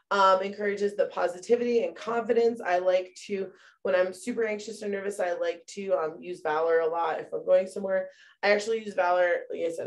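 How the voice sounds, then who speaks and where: A woman, a mother, casually presenting products from her bathroom at home, chatty and full of fillers. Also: on the low side. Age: young adult or middle-aged mother. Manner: chatty, many fillers, addresses viewers as "you guys". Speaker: essential oil presenter.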